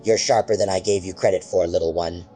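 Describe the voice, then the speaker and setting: A man's voice: low-pitched, steady tone, quick pace, dark tone, faint music behind, voice pushed down, close to an everyday voice. A man voicing a monster that poses as a mother, speaking in a wet, gurgling, menacing hiss. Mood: gloating.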